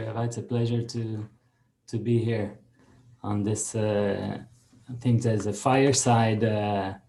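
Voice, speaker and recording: A man, a diplomat, chatting as a guest on a video call with a relaxed manner. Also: on the low side. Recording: echoey room with faint noise.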